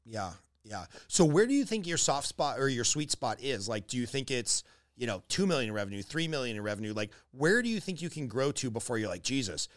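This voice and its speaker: A man's voice: mid-range voice, very animated, a little fast. Male interviewer. Casual, informal, a bit salty, builds long rambling questions in a conversational style.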